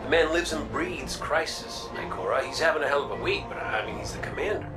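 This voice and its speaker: A man's voice: fairly high pitch, lively intonation, a little fast, over music, natural voice. Sardonic gunslinger played by a man, slightly distant. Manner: casual, sardonic. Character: flippant male gunslinger, wry, guarded. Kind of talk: video game voice acting.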